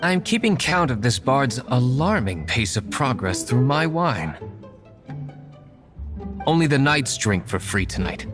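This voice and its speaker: A stern, deadpan winery owner. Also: male voice, medium pitch. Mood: dry. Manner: cool, measured, deadpan. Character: stern wealthy winery owner, dry wit. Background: game music.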